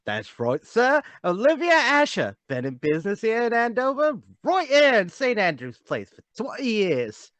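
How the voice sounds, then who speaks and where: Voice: medium pitch, huge pitch swings, normal speed, hardly any pauses, a bit bright, no echo. A performer voicing a chatty, folksy, easily alarmed English woman who keeps a small-town shop, in a radio drama read. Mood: polite, chatty. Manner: deferential, folksy.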